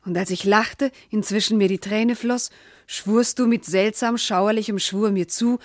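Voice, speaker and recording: An actress playing a proud, loving noble wife, wounded and indignant, speaking formal, impassioned verse in a classical radio drama. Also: female voice, mid-range voice, steady tone, a little slow, dry recording, studio quality, natural voice. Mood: passionate, reproachful.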